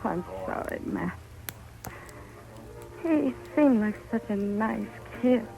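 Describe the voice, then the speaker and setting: A woman's voice: on the high side, animated, slow pace, vocal fry, muffled recording, faint music behind, exaggerated voice. An actress playing a wounded, blunt saloon woman with a reproachful manner, in 1950s radio drama style. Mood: regretful.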